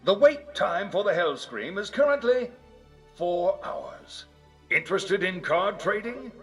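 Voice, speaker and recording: An actor voicing a showy fantasy theme-park announcer in a video game, with theatrical promotional delivery. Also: male voice, sits high, very animated, unhurried, fairly bright tone, faint music behind, exaggerated voice.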